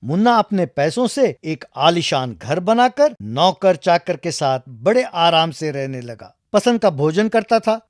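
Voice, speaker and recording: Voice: mid-range voice, darkish tone, no echo, clean studio sound. A storyteller narrating a scripted tale for children.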